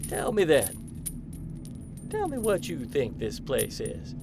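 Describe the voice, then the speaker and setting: An actor playing a sinister, smug hospital doctor in a horror audio drama, talking with condescending, mock-patient menace. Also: male voice, fairly high pitch, huge pitch swings, slow, gravelly, heavily acted.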